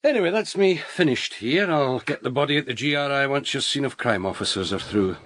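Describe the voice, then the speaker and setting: An actor playing a brisk, formal male police pathologist with a polite, resigned manner in a radio drama. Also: medium pitch, lively intonation, fairly bright tone, dry recording. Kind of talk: naturalistic radio drama acting.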